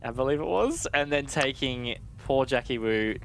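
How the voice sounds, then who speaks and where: A man giving measured, analytical live commentary on an esports match. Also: mid-range voice, expressive, gravelly, a bit bright, low-quality recording.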